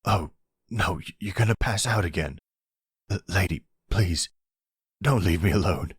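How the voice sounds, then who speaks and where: A nervous, none-too-bright young guy, acted by a man in an audio drama. He stammers in slangy bro talk, with a low, husky voice recorded close and dry. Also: a little slow, no room sound, heavily acted. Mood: scared, pleading. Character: panicky dim young bro-talking reluctant kidnapper. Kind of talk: audio roleplay acting.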